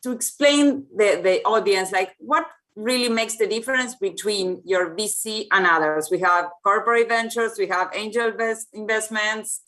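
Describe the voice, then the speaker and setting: Brief: woman, moderating a panel, halting and filler-heavy. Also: mid-range voice, fairly bright tone. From Argentina. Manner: hesitant, searching for words, many fillers.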